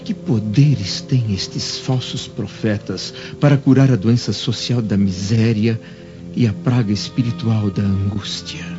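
Actor as a slow, reflective Roman senator. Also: male voice, lowish voice, fairly even intonation, natural voice. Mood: troubled, pondering. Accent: Brazilian. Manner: declamatory, reflective. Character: grave, pondering Roman senator gazing over Jerusalem. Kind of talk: radio drama acting. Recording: dull lo-fi audio with clear music underneath.

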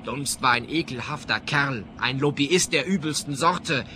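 Indignant crusader for a radio comedy: emphatic, clipped, very sharp-edged voice. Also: male voice, medium pitch, a little slow, a little hiss or hum. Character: indignant, righteous male anti-tobacco activist accused of murder. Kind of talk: comic detective radio play acting.

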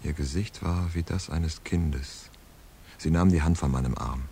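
Very deep-voiced, deadpan crime hero. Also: male voice, a little slow, creaky, slight background noise, full character voice. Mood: deadpan, reflective. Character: laconic, world-weary male hard-boiled narrator. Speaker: narrator and lead character in a radio crime play. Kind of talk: scripted hard-boiled first-person narration and dialogue.

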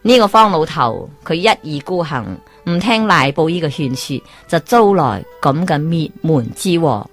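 A woman with a Hong Kong Cantonese accent telling a folk tale in a lively, exclaiming storyteller manner. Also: low-pitched, normal speed, hardly any pauses, faint music behind.

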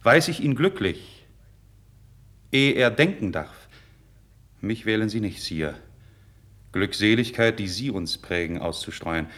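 A man's voice: lowish voice, expressive, a little slow, pauses often, fairly bright tone. An actor playing an idealistic, proud young nobleman in a classical radio drama, with earnest, declamatory, formal diction. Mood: impassioned. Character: idealistic young nobleman, passionate, proud, candid before his king. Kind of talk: 1950s German radio drama, classical verse acting.

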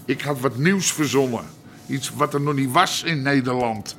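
Casting: male actor for a blustering radio drama gangster, creaky gravel in a mid-pitched voice, aggrieved. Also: normal speed, fairly bright tone, a little hiss or hum, lightly acted. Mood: indignant. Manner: colloquial, belligerent. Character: aggrieved, blustering underworld club owner. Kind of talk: naturalistic radio drama acting.

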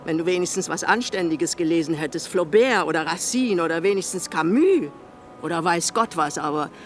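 A woman's voice: on the low side, a little fast, soft background music, natural voice. Bitter, scolding old mother, played by an actress. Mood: reproachful. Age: older. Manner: theatrical, reproachful, sarcastic. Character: sick, eccentric old mother, bitter, manipulative, scolding her son. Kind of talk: German radio play acting.

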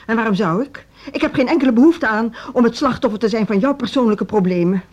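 An actress playing a firm school director in a naturalistic radio drama, speaking in a cool, measured, formal manner. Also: female voice, on the high side, darkish tone, natural voice. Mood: cutting, controlled. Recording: dull, muffled radio play recording.

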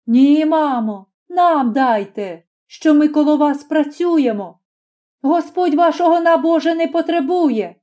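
A woman's voice: high voice, expressive, a little slow, warm tone, pitched above the performer's own voice. A woman doing a taunting young peasant son. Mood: reproachful.

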